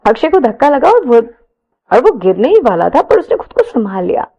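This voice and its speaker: A woman's voice: high voice, very animated, fairly quick, dark tone, lo-fi sound. A woman storyteller narrating a romance story in a dramatised style.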